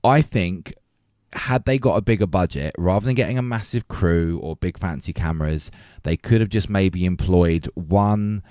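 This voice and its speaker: A man's voice: deep voice, normal speed, a little mellow, clear, resonant tone, no echo, studio quality. A man hosting a casual film discussion, thoughtful and hesitant, repeating words as he builds his points. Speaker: podcast host.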